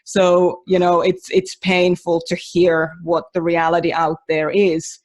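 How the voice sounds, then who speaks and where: A woman from Finland talks through her training course in a casual podcast chat. She is emphatic and lively, with frequent fillers and false starts as she builds her point. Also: on the low side, unhurried. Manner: chatty, emphatic, many restarts and fillers. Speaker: mentor running a training course.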